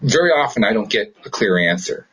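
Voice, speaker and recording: A man with a fairly low, markedly husky voice talks in an unscripted, casual style. He comes across as a thoughtful, agreeable co-host who reasons things through aloud. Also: sing-song, brisk, a bit bright, low-quality recording. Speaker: talk show co-host.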